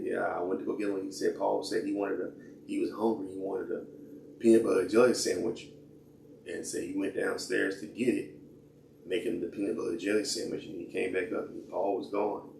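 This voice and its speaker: A hoarse man losing his voice, hosting his own channel in a rambling, casual way. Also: low voice, a little mellow. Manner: rambling, full of fillers and restarts. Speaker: channel host. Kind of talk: casual rambling vlog talk. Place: indoors in a room. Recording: echoey room, mic at a distance, faint noise.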